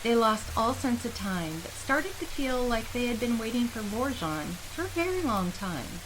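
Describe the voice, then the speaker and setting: A woman's voice: a little high, sing-song, moderate pace, background noise. A woman reading scripted fantasy narration as a storyteller.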